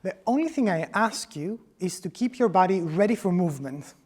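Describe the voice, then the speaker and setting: Mellow Greek-accented man teaching dance. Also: high voice, animated, a little fast. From Greece. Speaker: choreographer, dance instructor. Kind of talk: scripted tutorial narration.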